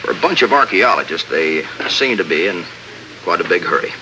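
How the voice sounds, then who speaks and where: An actor playing a steady American lieutenant in a 1950s radio drama, crisp and matter-of-fact. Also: male voice, deep voice, fairly quick, slight background noise, low-quality recording. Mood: earnest, briefing. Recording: old radio recording, faint music.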